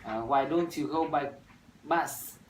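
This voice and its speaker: A man's voice: a little high, slight background noise. Vietnamese guy, 21, rehearsed english w/ uhs, far from mic in echoey room. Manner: measured, rehearsed delivery with "uh" fillers. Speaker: university student. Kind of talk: scripted classroom dialogue practice.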